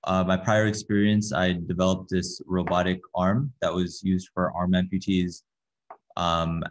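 A young man from Canada giving an online workshop lecture in a soft-spoken, hesitant way, with many fillers and repeated words, over a laptop webcam. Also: sits low. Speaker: workshop presenter. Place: small room with a window, indoors. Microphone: laptop webcam on a video call. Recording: dull lo-fi video call audio.

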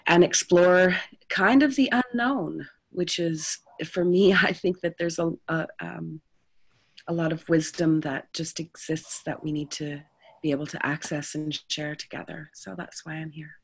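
A woman introducing herself hesitantly on a video call, with many pauses and restarts. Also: low-pitched, gravelly, husky. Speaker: participant in a women's circle.

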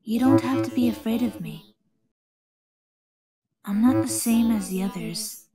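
A woman voicing a lonely, pleading female animatronic chicken in fan-made character lines, soft, breathy and plaintive. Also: fairly high pitch, a little slow, over music, close to an everyday voice. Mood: sad, pleading.

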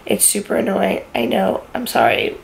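Young woman, raspy with lots of fry, relaxed and chatty home vlogger on a handheld phone. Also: medium pitch, normal speed, a little hiss or hum, poor audio. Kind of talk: casual vlog chat. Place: bedroom at home. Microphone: phone camera held at arm's length.

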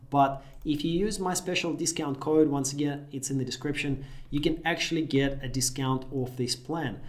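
A man in his thirties from Russia, a Russian native speaker, giving an upbeat screen-share tutorial in a small room. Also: a little high. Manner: upbeat, direct instructional talk. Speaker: affiliate marketing tutor.

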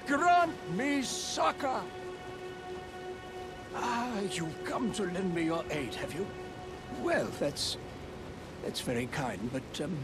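An actor playing a pompous, self-important noble lord with a grand, theatrical manner, in a game cutscene. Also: male voice, a little high. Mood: pleading. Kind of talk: video game voice acting. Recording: game cutscene with loud music.

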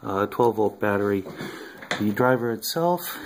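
A man casually showing his electronics project at a home workbench, talking haltingly with many ums and uhs. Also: fairly low pitch, animated. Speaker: hobbyist maker.

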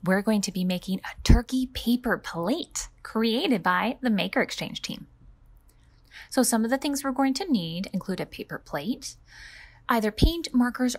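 A woman in her thirties hosting a storytime as a children's librarian, talking expressively to small children as she reads aloud and gives simple instructions. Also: mid-range voice. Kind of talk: read-aloud and instructions for preschoolers.

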